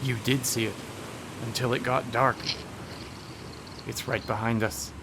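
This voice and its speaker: A man's voice: mid-range voice, little pitch movement, measured pace, noisy background, lightly acted. An actor playing an earnest, argumentative intellectual man obsessed with eerie legends in a radio horror drama. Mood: ominous, insistent.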